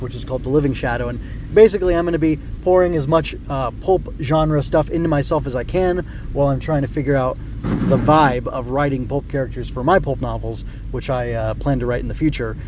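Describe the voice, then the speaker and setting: A man's voice: mid-range voice, background noise. A man hosting a solo podcast, talking off the cuff in a rambling, self-correcting way. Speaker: podcast host. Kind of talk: unscripted solo podcast talk.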